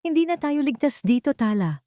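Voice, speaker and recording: A woman's voice: sits high, lively intonation, brisk, mellow, no room sound, studio quality, voice pushed up. A woman narrator voicing a serious, worried man who is a loyal protector in an audio drama. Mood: grave, worried.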